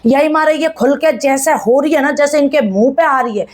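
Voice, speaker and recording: A woman's voice: high-pitched, moderate pace. A woman, giving casual, blunt, chiding gossip commentary to viewers in colloquial speech. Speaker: YouTube commentator.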